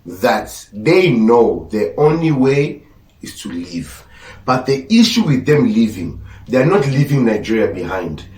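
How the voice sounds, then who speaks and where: A man's voice: medium pitch, big pitch swings, a little slow, a little mellow. Blunt podcast host, male, Portuguese first language, preachy delivery, distant mic in an echoey room. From Angola. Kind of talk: opinionated commentary.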